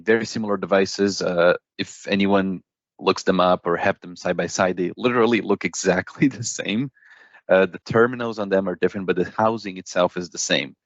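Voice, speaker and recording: A man with a deep voice and a gravelly edge explains technical products as a guest expert. He talks conversationally and step by step, often tagging points with a checking question. The sound is dry and slightly dull. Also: no room sound. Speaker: product expert guest from a paging hardware company.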